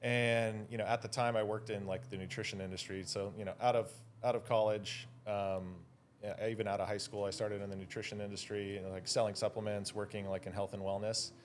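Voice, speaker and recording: A man's voice: fairly low pitch, level tone, a little fast, slight background noise. A Californian man chatting casually as an interview guest, with a laid-back, slangy way of talking. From Hawaii and California, United States. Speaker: guest, drone photographer.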